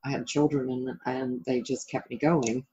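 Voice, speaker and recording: A woman's voice: a bass voice, steady tone, normal speed, warm tone, lo-fi sound. A middle-aged woman being interviewed as a life coach and author, talking reflectively and conversationally in an encouraging way.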